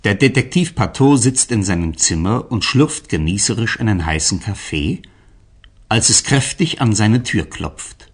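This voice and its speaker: Narrator for a detective radio play, raspy, really animated scripted storytelling. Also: male voice, fairly low pitch, a little slow. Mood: narrating.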